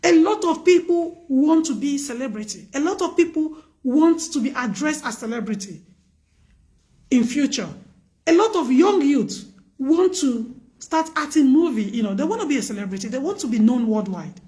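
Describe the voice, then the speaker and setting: Woman, high pitch, loud voice, earnest, preachy, emphatic, live-stream advice host. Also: expressive, moderate pace.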